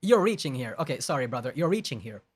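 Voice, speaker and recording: A man's voice: medium pitch, big pitch swings, fast talker, breathy edge. A man live-streaming, reading a document aloud and rebutting it in an emphatic, argumentative way, often restarting his sentences and swearing bluntly. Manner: blunt and profane.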